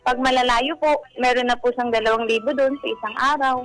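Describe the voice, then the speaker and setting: A woman's voice: a little high, steady tone, brisk, fairly bright tone, low-quality recording. A woman, talkative and polite, telling her own story casually as a caller in a run-on way. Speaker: caller seeking relationship advice. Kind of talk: casual personal account to radio hosts. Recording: music playing behind.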